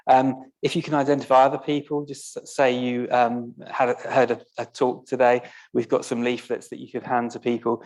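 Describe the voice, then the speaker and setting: A man's voice: mid-range voice, level tone, normal speed, hardly any pauses, a little mellow, poor audio. A man presenting a carers support service to an audience, earnest and encouraging. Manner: earnest, with frequent fillers and restarts. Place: theatre function room, live event.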